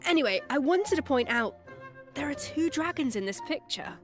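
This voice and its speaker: Higher-pitched female game presenter. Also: animated, moderate pace, faint music behind. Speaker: co-presenter of a game preview program. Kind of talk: scripted presentation with banter.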